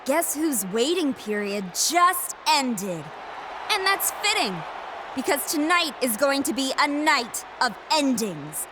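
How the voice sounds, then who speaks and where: A woman's voice: high voice, big pitch swings, takes it slowly, background noise, exaggerated voice. A woman playing a defiant, determined wrestling challenger in a video game, sarcastic and confrontational. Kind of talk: scripted video game cutscene acting.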